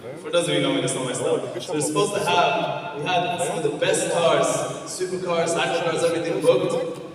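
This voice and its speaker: A man in his twenties, originally from Afghanistan, hosts a large convention from the stage, energetic and quick to pull the crowd in with questions. His voice sits a little high and rings through a big reverberant hall, heard from some distance off the mic. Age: 20s. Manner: energetic, engaging the audience with questions. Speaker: event host. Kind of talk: conference stage talk. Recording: echoey hall, far from the mic.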